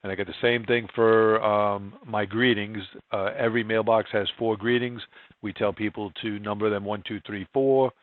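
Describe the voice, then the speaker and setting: A man with a fairly low voice talks through a software tutorial step by step. His delivery is informal and plain-spoken, with little rise and fall in pitch. The sound is dry and close, with no room around it. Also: fairly even intonation, normal speed, dry recording, phone-quality sound. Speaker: software trainer. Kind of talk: screen-share software tutorial narration.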